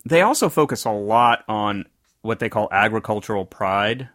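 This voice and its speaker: A man's voice: fairly low pitch, lively intonation, normal speed, a bit bright, no room sound, clean studio sound. A man from the American South, co-hosting a casual podcast and telling a rambling story that often circles back to correct itself. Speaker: podcast co-host. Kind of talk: casual conversational podcast chat.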